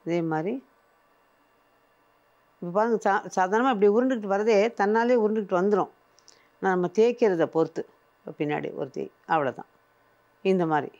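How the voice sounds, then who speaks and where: A woman's voice: medium pitch, normal speed, long pauses, a little mellow, muffled recording. An older woman presenting a cooking show, patiently explaining each step as she works in a studio kitchen.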